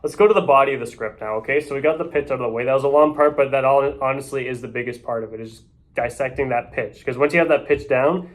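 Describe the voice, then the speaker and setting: A man who coaches others in real estate sales, talking quickly and freely as if straight off the top of his head. His pitch sits in the middle range for a man. He keeps checking in with his listeners with a quick "right?" after his points. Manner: loose, off-the-cuff, often ends points with "right?". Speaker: real estate agent teaching door knocking. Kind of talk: casual sales coaching talk.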